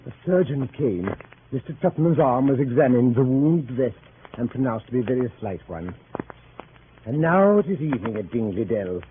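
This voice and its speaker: An actor as a gentleman narrator, telling a story in formal 1930s radio-drama style. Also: medium pitch, lively intonation, moderate pace, soft background music, close to an everyday voice. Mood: measured. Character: gentleman narrator recounting country events. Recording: tinny 1930s radio recording.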